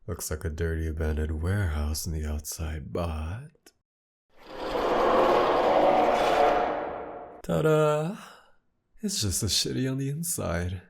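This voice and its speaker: A man playing a mocking, menacing masked villain kidnapper in an intimate ASMR roleplay, with a gravelly, breathy murmur that often sinks toward a whisper. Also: low-pitched. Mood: sardonic, teasing. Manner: taunting, commanding, slow and teasing.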